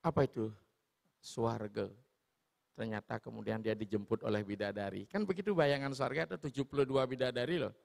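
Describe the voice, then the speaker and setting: A man with a Sulawesi accent giving an animated storytelling sermon in a large hall. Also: mid-range voice, fairly quick, creaky. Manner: animated, switching into voices. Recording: long hall reverb.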